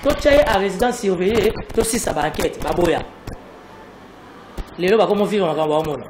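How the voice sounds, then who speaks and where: A man with a Congolese accent speaks in a high-pitched voice over faint ambient noise. He comments on politics as an interview guest, driving points home emphatically and repeating his phrases. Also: expressive, normal speed. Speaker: political analyst being interviewed.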